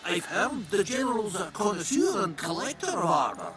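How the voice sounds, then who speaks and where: A servile dwarf blacksmith from a fantasy game, with a slowish, rather high voice that swings up and down. He sounds folksy and eager to please, with music playing behind him. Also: sing-song, a bit bright. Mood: fawning. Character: fawning, obsequious dwarf blacksmith, grumbling in private. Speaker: blacksmith shopkeeper.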